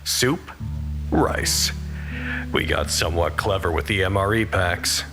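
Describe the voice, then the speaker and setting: A man's voice: sits low, unhurried, music in the background. A man playing an earnest, anxious facility worker who reports to command in a wordy, formal audio drama monologue. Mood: announcing.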